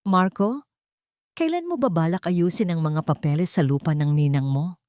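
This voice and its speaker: A woman's voice: fairly low pitch, lively intonation, normal speed, darkish tone, no echo, close to an everyday voice. A woman voicing an irritated, cutting older rich mother in a drama story.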